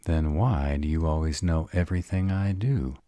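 A man's voice: a bass voice, normal speed, dark tone, no echo. A man playing a sarcastic, guarded grown vampire who is insecure about love, in an audio roleplay. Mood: defensive, wry. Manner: sarcastic, wry.

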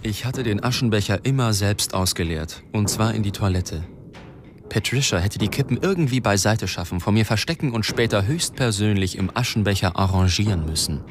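An actor playing a troubled, stubborn newspaper reporter in a radio drama, dry and weary in manner. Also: male voice, lowish voice, animated, fairly quick, background noise, lightly acted. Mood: bitter. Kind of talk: radio drama acting with inner narration.

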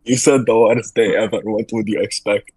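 Husky low-voiced man on a call. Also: fairly even intonation, moderate pace, a little mellow, no room sound. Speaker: contestant. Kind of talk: casual chat on a voice call.

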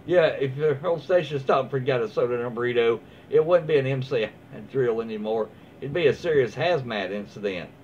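A man's voice: mid-range voice, brisk, few pauses, darkish tone. A man, reading stories aloud and commenting casually in a folksy, rambling, easygoing way. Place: small room at home, indoors. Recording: dull lo-fi sound with clear background noise.

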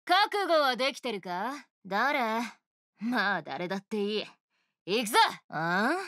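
A woman voicing a brash, swaggering female oni rock star guitarist, with rough tough-girl speech in game voice lines. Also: medium pitch, animated, unhurried, pushed and bright, no echo, studio quality. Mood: cocky. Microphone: studio mic, dry.

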